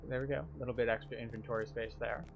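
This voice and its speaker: A man's voice: mid-range voice, steady tone, rapid-fire, vocal fry, highs cut off, over music. A man giving live gameplay commentary as a tour guide, explaining things step by step. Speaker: game streamer and tour guide.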